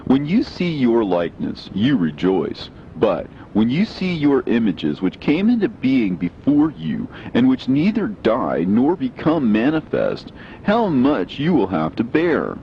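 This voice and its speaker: A man giving a solemn, measured, declamatory reading of scripture. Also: huge pitch swings. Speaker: reader. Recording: dull lo-fi with faint low rumble.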